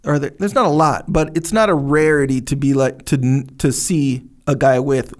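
A young man co-hosting a podcast in a studio, talking in a rambling, animated way and searching for words. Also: mid-range voice, moderate pace. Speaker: podcast co-host. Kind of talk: casual podcast chat. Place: dark studio, indoors. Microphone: studio mic on boom arm, headphones.

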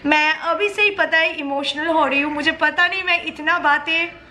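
A young woman vlogging casually and emotionally as she walks a handheld camera through the bare rooms of an old flat. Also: sits high, normal speed, slight background noise. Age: young adult. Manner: chatty, emotional, mixes in English phrases. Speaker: YouTube vlogger. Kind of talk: casual home tour vlog.

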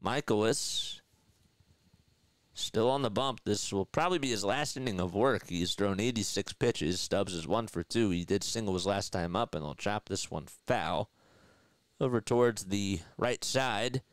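A man's voice: fairly low pitch, unhurried, no echo. A man in his thirties with a Philadelphia accent, calling a baseball game live on a headset mic and chatting with viewers. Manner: chatty, laughs often, reads viewer comments aloud. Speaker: play-by-play livestream host. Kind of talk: live baseball commentary with chat reading. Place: small indoor room in front of a desk setup. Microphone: headset with boom mic.

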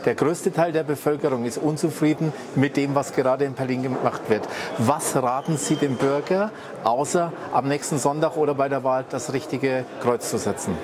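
A man's voice: mid-range voice, normal speed, background noise. A middle-aged man interviewing a guest and reading formal, prepared questions into a handheld mic in a showroom corner. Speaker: interviewer for a local channel. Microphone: handheld branded mic.

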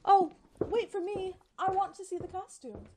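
High, lively young secretary acted by a woman. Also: normal speed, very noisy. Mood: eager. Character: eager, naive young female college secretary. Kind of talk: old-time radio comedy acting.

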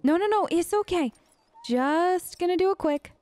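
A high-voiced woman chats her way through a game in character. She is lively, earnest and informal, sounding close and dry on the mic. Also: normal speed, no echo. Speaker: let's play host role-playing as an elf character. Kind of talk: casual improvised role-play game commentary.

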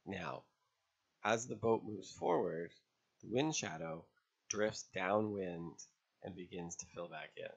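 A man narrating an instructional lesson as an instructor, explaining step by step in a measured way with pauses. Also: sits low, expressive, gravelly, slightly rough, muffled recording. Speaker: sailing instructor. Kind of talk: instructional narration over drawn diagrams.

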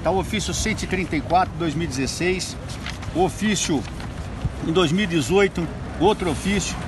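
A man, a state deputy filming an indignant street report, pointing things out to the camera. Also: high voice. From Brazil. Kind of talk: on-street political video report. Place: busy city street outdoors. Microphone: phone camera held close. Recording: loud street noise. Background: loud street traffic.

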